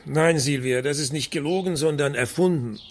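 An actor playing a wry, evasive private detective in a radio drama, husky, dry and offhand in a naturalistic style. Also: male voice, fairly high pitch, moderate pace. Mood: sheepish, wry.

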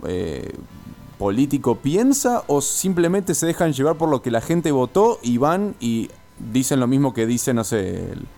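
A man's voice: mid-range voice, very animated, measured pace, dark tone, faint music behind. A man with an Argentine accent asking questions in a conversational radio interview, hesitant and groping for his wording. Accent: Rioplatense Argentine.

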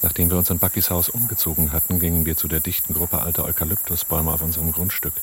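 An actor playing the subdued first-person narrator of a radio thriller, raspy and matter-of-fact. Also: male voice, deep voice, level tone, quick pace, darkish tone, slight background noise, close to an everyday voice. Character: low-voiced male narrator of a night-time thriller.

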